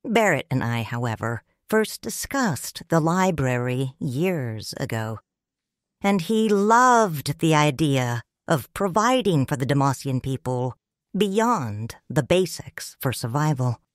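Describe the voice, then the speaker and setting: A woman narrating a first-person audiobook story in a measured, solemn and expressive way. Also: low voice, no room sound, studio quality.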